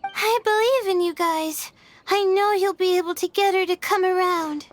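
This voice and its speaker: Gentle, supportive young girl for a game, very high pitch, close dry recording. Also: moderate pace, no echo, soft background music. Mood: encouraging. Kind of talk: video game voice acting.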